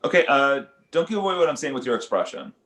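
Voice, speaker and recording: Man playing a quick-talking wary dad, roomy sound. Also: mid-range voice, expressive, a bit bright, lightly acted. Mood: nervous, casual. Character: wary, low-key young dad of talking baby. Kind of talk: comedy table read of an animated pilot.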